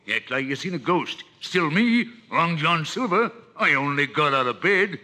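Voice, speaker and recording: A sly old pirate sea cook for a storybook record. His bright, forceful voice swoops up and down as he wheedles with hearty, jovial sailor talk. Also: fairly high pitch, very animated, normal speed, heavily acted. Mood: bluff, falsely reassuring. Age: older. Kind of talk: dramatised storybook record acting.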